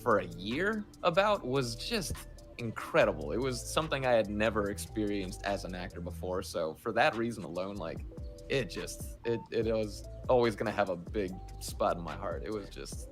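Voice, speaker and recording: A man, a voice actor, talking casually and emphatically as an interview guest, telling stories in an animated way. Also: fairly low pitch, moderate pace. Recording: music playing behind the voice.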